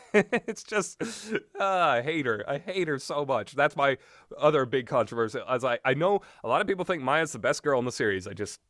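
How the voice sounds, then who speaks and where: A man giving rambling, opinionated game commentary in a blunt, exasperated, sweary and halting manner. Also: mid-range voice, lively intonation, fairly bright tone, dry recording, studio quality. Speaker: video game reviewer.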